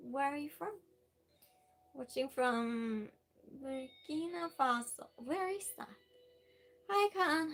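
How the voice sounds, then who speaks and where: A woman's voice: sits high, a bit bright. A woman with a Norwegian accent, a native Norwegian speaker, chatting casually on a live stream, reading viewer messages aloud with plenty of fillers and restarts.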